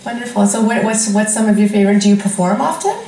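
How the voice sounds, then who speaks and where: A woman TV host chats through an interview with effusive approval. Her voice sits at an average pitch, and the studio around her adds noticeable echo. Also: animated, fast, mellow. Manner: effusive, frequent exclamations of approval. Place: indoor TV studio.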